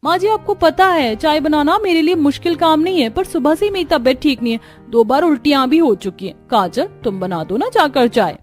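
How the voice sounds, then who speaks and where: Actress as defensive urban daughter-in-law, high whining voice, cartoon, music behind. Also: female voice, animated, a little fast, hardly any pauses. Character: whiny, excuse-making city daughter-in-law.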